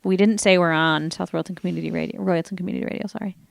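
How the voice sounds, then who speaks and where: A woman's voice: lowish voice, steady tone, racing, vocal fry, no echo, clean studio sound. A woman from Vermont co-hosting a community radio show, chatting casually in a playful, teasing way. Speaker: radio co-host. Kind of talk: casual chat. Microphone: studio microphone.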